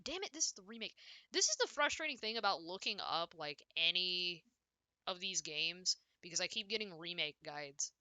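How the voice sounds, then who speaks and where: A young woman chatting casually and loudly on a game livestream, rambling and often cutting herself off as she plays. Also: on the low side, animated, moderate pace, dry recording. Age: young adult. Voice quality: loud voice. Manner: rambling, self-interrupting, frequent "like". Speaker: video game streamer. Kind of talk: casual livestream chat while playing.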